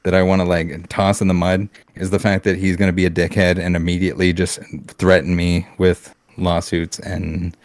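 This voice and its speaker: Brief: low-pitched Canadian man, blunt casual podcast co-host, dry close sound. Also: little pitch movement, moderate pace, few pauses, no echo. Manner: blunt, heated ranting with swearing and restarts.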